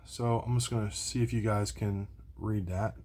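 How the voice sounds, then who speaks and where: A man's voice: lowish voice, steady tone, moderate pace, darkish tone. A man hosting a casual, unscripted unboxing and review, rambling and circling back to repeat his points. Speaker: video host doing product unboxing and review.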